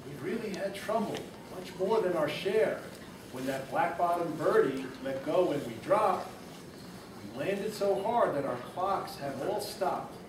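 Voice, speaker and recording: Brief: hushed polite little mayor, read by a man, higher voice, distant roomy recording. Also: lively intonation, measured pace. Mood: pleading. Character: tiny soft-spoken male town mayor. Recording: roomy, distant mic, background noise.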